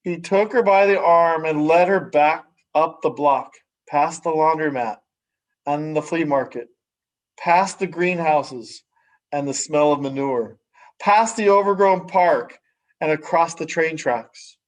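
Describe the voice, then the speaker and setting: A man with a fairly high voice reads a children's picture book aloud. He takes his time, phrasing dramatically and letting the ends of sentences drop to a hush. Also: rough recording. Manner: measured, dramatic phrasing with hushed sentence endings. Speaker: story reader.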